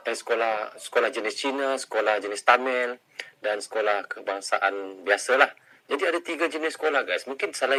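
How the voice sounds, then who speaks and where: A man's voice: lowish voice, pushed and bright, low-quality recording. A man from Malaysia, chatting casually to viewers as he reacts to a video and thinks aloud.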